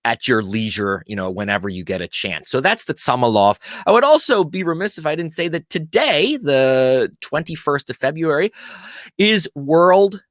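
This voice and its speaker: A man's voice: a little high, expressive, normal speed, a bit bright, dry recording, clean studio sound. A man from Pennsylvania hosting a live stream, casually greeting viewers and chatting in a friendly, talkative way. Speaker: host of a live-streamed show.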